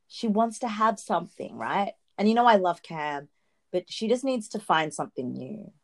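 A woman's voice: medium pitch, very animated, moderate pace. A woman co-hosting a podcast, chatting about reality TV in long explanatory turns and laughing often. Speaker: podcast co-host. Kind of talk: casual chat recapping reality TV.

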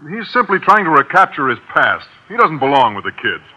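A man's voice: on the high side, very animated, brisk, pushed and bright, slightly rough, lo-fi sound, heavily acted. A man playing an earnest, disapproving meeting member in an old radio comedy. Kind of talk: 1950s radio comedy acting.

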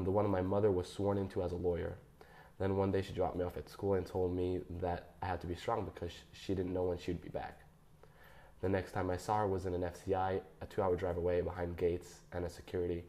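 A young man reading his personal statement aloud in a measured, careful way. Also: sits low, mellow. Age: young adult. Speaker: guest.

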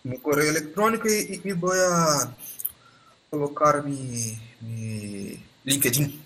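A man from Brazil with a Brazilian Portuguese accent, a guest on a podcast interview over a video call, speaking Spanish haltingly with many restarts and "ah" fillers. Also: a little high, fairly bright tone, slight background noise. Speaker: guest expert.

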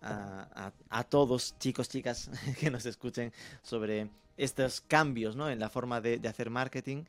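A man hosting a podcast interview in casual chat, relaxed and teasing, often tagging his sentences with a question. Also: mid-range voice, measured pace, no echo. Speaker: podcast host.

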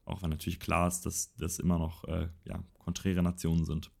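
Low-voiced young man rambling. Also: monotone, brisk, gravelly, darkish tone. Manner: rambling, self-correcting. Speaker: podcast co-host. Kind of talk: casual political chat.

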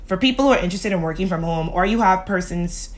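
A woman's voice: lowish voice, fast. A woman co-hosting a casual chat, blunt and joking, with a sassy manner. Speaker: podcast co-host.

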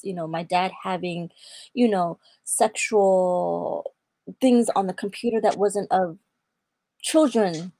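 A young woman from Atlanta, Georgia, giving an earnest personal testimony in a faith interview, quoting scripture and pausing on fillers. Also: mid-range voice, creaky, a little mellow, dry recording, poor audio. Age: young adult. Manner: earnest, preaching tone with scripture quotes. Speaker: guest.

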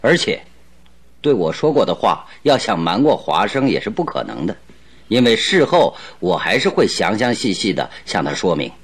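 Shrewd radio-drama detective, low husky voice, calm, polite, self-assured. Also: male voice, moderate pace, heavily acted. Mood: calm, firm. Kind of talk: Mandarin radio drama acting.

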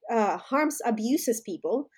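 Colombian-born woman in her thirties, guest on a podcast; breathy, slightly high, insistent and repetitive for emphasis. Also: expressive, takes it slowly, a little mellow. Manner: emphatic, repeats key phrases for stress. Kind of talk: conversational interview.

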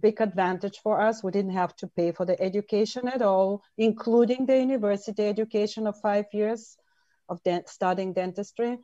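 A woman's voice: mid-range voice, mellow, no echo, lo-fi sound. Earnest Bulgarian-born woman in her fifties. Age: 50s. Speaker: interview guest. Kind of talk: personal storytelling in an interview.

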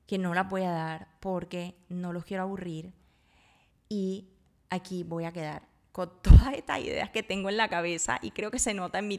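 Low-pitched woman, reflective podcast monologue. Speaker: podcast host.

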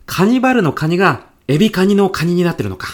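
A woman acts as a middle-aged male department manager in a radio comedy. The voice is low and husky, swinging freely up and down. He comes across as breezy, chummy and quick to forgive. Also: very animated. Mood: puzzled, awkward. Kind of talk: comedic radio drama dialogue.

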